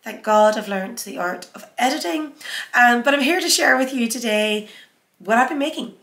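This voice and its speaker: A woman's voice: fairly high pitch, lively intonation, moderate pace, a bit bright. A woman who has a cold and a sore throat, chatting casually on her sewing vlog. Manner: chatty, conversational, with fillers. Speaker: sewing channel host. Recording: echoey room.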